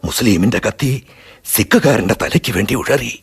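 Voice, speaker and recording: A man playing a sober older Sikh man in a radio play, peace-minded and grave. His husky voice moves widely in pitch, lifting and falling as he speaks. He talks in a slow, measured way. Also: expressive, heavily acted. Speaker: narrator and Chani Singh, a Sikh elder. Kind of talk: Malayalam radio drama acting and narration.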